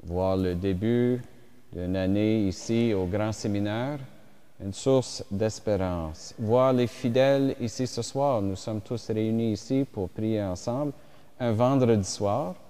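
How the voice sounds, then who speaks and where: A man whose first language is English, giving a slow, reflective French homily as a bishop at mass, with pauses and fillers. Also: on the low side, mellow, clean tone. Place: church. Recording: reverberant church hall.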